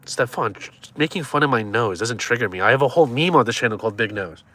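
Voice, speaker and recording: A man's voice: medium pitch, animated, fast talker, fairly bright tone. A man in his twenties chatting casually on a live stream, joking and teasing viewers as he reads their comments. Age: 20s.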